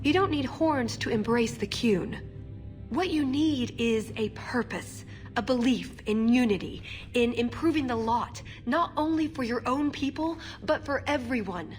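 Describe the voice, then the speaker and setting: A woman's voice: on the high side, a little slow, soft background music, lightly acted. A woman playing a wry, earnest young elven agent in a video game. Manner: flippant and wry, turning earnest.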